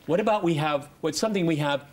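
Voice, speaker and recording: A man in his fifties moderating a political panel, halting and repeating words for emphasis. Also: on the high side. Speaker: talk show host and moderator. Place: television studio round table.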